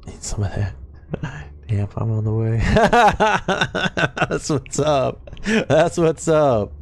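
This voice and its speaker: A man's voice: fairly high pitch, animated, extremely slow, creaky. A man from Arkansas in his thirties with a light Southern accent, streaming a game from a home gaming room and chatting loosely with viewers, swearing often. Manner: loose, profane, laid-back banter. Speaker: Twitch streamer. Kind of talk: casual live-stream chat with chat.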